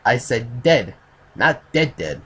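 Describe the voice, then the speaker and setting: A man's voice: mid-range voice, big pitch swings, takes it slowly, a bit bright, full character voice. A man voicing a laid-back, wry man in a story reading. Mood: sardonic. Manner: casual, wry. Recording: clearly noisy home recording.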